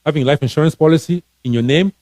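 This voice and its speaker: A man's voice: a little high, quick pace, darkish tone, no echo, studio quality. A man from Cameroon, a financial coach and author, giving casual advice on a live stream, earnest and encouraging, often restarting his words.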